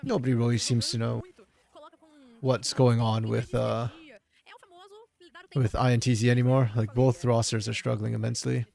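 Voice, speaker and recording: Slightly hoarse man casting a match live. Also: mid-range voice, little pitch movement, normal speed, long pauses, darkish tone. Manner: casual, thinking aloud, jumps between tech trouble and play calls. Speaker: esports caster. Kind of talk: solo live game commentary.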